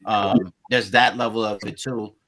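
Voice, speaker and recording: A man's voice: on the low side, fairly even intonation, unhurried, fairly bright tone. A man in his forties from Colombia with a Spanish-inflected accent, reflecting thoughtfully as a podcast guest in a casual long-form conversation. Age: 40s. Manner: reflective, searching for words.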